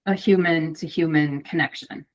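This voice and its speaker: A woman's voice: sits low, fairly even intonation, moderate pace. Chatty woman narrator, lots of fillers. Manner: talkative, many fillers and restarts. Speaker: audiobook narrator and voice actor, guest. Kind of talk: casual interview chat.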